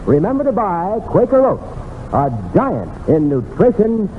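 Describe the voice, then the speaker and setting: An American man delivers an old-time radio commercial in a folksy style. His voice is animated and a little high, on a thin, reverberant recording with faint noise. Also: measured pace, narrow, tinny audio. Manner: folksy, addressing young listeners. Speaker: announcer. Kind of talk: 1940s radio advertising pitch.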